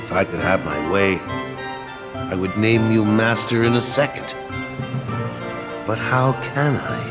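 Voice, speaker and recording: A stern, caring middle-aged mentor with a lowish voice. He speaks solemnly and formally, like the master of young warriors in a fantasy game, over loud music. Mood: earnest, paternal. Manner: formal, solemn, measured old-fashioned phrasing. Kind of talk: dramatic fantasy game cutscene acting.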